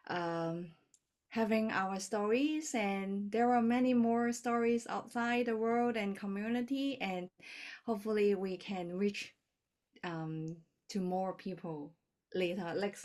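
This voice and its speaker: Woman, slow and gentle, lots of ums, video call. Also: mid-range voice. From Hong Kong.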